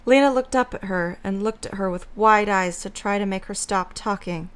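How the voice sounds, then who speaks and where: A woman's voice: medium pitch, moderate pace, clear, resonant tone. A woman reading scripted story narration as the narrator of an audio drama.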